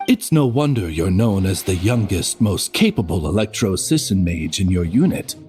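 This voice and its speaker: A man voicing a dignified, deferential familiar in a video game, with a formal, measured manner. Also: deep voice, very animated, a little mellow, faint music behind. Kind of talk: English dub game dialogue.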